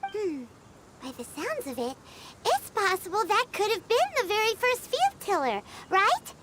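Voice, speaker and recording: A woman voicing a tiny, excitable floating girl companion with a bubbly way of talking. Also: very high-pitched, unhurried, noisy background, exaggerated voice. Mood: curious. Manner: bubbly, refers to herself in third person.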